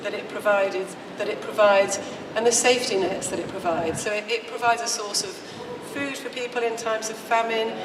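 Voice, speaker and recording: A woman with a croaky, strained voice gives a measured conference talk, explaining points step by step. She is picked up from far off in a large, echoing hall, with a long reverb tail and clear background noise. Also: mid-range voice. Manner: measured, explanatory, with occasional restarts. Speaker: researcher giving a conference talk. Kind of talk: conference presentation.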